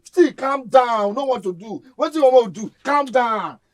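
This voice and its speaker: A man with a Nigerian Pidgin accent, playing a friend who keeps coaxing and calming others. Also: very high-pitched, normal speed. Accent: Nigerian Pidgin English. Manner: repetitive, coaxing. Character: steadying young friend urging calm.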